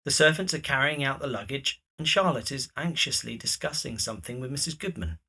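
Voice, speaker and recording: Husky, bright, expressive male narrator. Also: medium pitch, a little fast. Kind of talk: scripted narration of a period audio drama.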